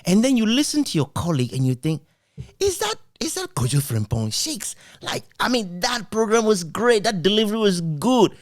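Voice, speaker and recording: A man's voice: high-pitched, big pitch swings, moderate pace, dry recording, clean studio sound. A man in a casual radio chat, jovial and given to chuckling as he talks. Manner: jovial, chuckles often while talking. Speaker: radio broadcaster in conversation.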